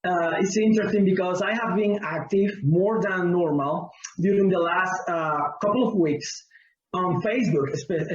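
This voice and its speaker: A man with a Cuban Spanish accent and a high voice, speaking slowly and earnestly as he searches for words. His sound is distant and roomy. It has the muffled, lo-fi quality of a video call. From Cuba. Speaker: pastor and guest. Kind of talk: interview answer.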